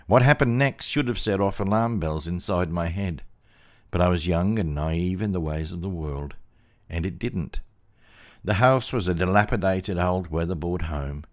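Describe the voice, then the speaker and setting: A man narrating a first-person story in a measured, plain-spoken way. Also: low voice. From Australia.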